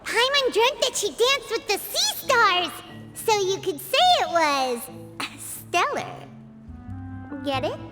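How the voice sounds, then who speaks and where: Very high squeaky fairy companion. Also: female voice, sing-song, slow pace, full character voice. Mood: playful, pleased. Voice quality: squeaky, childlike. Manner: speaks of self in third person. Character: small childlike fairy companion, cheeky, whiny, sweet. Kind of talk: animated video game voice acting. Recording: game music behind the voice.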